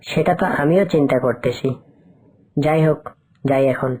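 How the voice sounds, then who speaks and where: Woman voicing a low-pitched, plaintive male fox. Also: normal speed, dark tone, slightly rough. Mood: worried. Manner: plaintive, pleading. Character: poor, worried, pleading male fox labourer. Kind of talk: cartoon dialogue.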